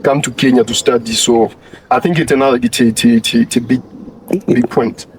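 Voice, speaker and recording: Hesitant young student, faint background hum. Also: male voice, medium pitch, fairly even intonation, fairly quick, rough recording. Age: young adult. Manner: hesitant, many fillers and restarts. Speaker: international student.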